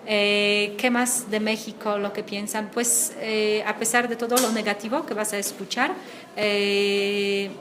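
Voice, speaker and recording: A young woman from Poland talks in a chatty way, filling pauses as she thinks. Her voice sits in a middle range. Clear background noise runs under her. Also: medium pitch, little pitch movement. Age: young adult. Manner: chatty, many fillers. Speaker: interviewee. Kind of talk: student video interview.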